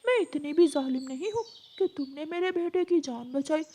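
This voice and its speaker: A woman's voice: high-pitched, normal speed, warm tone, voice pushed up, natural voice. A woman voicing an imposing, protective mother eagle in a cartoon, stern and emphatic. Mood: reassuring.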